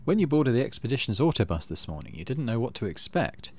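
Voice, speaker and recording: A middle-aged man hosting a let's play, reading game text aloud and thinking out loud as he plays. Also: medium pitch, very animated, fast, a little hiss or hum. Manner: thinks aloud while playing.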